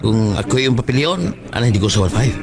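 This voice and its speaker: A man's voice: fairly low pitch, quick pace, natural voice. An actor playing a brash, worldly male friend with a Filipino Cebuano accent, blunt and mocking, in a radio drama. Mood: pushy. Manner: cajoling, scoffing, blunt. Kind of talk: Bisaya radio drama acting. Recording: radio drama over prominent music. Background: prominent background music.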